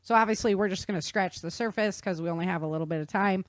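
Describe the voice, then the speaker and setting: A woman's voice: medium pitch, fast talker, dry recording, studio quality. A woman hosting a podcast, explaining things casually in an informal, nerdy-enthusiast way. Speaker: podcast host.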